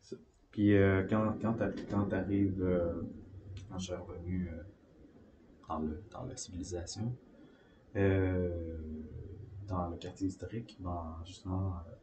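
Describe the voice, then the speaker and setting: A man from Beauce with a Quebec French accent, rambling through personal reflections in a halting way, often searching for words. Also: low-pitched, warm tone, a little hiss or hum. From Beauce, Quebec, Canada.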